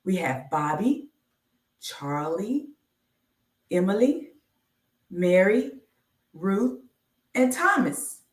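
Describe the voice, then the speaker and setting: Woman, children's read-aloud, animated intonation, deliberate teacherly delivery, distant roomy microphone. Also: mid-range voice. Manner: slow, deliberate enunciation with teacherly announcements of each letter. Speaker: children's book author reading aloud, mechanical engineer. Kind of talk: read-aloud of a children's picture book on a live stream. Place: indoor room, live stream.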